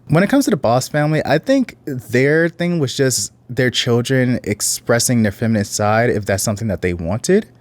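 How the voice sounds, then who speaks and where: A man hosting reaction commentary in a casual, earnest, reflective way, now and then reading out case details. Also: no echo. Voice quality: deep voice.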